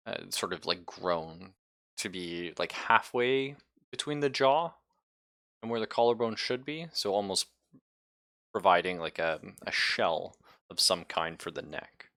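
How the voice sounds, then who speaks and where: A man acting as game master, improvising descriptions and chatting informally, with a halting, casual delivery. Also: sits low, a bit bright, no room sound. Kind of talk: improvised tabletop game narration and casual chat.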